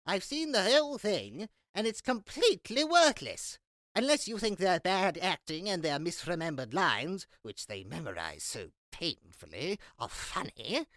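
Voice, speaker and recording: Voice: mid-range voice, big pitch swings, unhurried, a bit bright, no room sound, clean studio sound. A performer playing a fussy, scornful master of revels to a duke in a Shakespeare audio drama, with a theatrical, disdainful manner. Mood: disdainful, dissuading.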